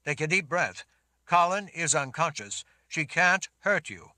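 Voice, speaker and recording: Guy doing a firm protective lord, bright forceful tone, dry sound. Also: a little high, very animated, a little slow, no echo, voice pushed up, heavily acted. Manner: firm, insistent commands.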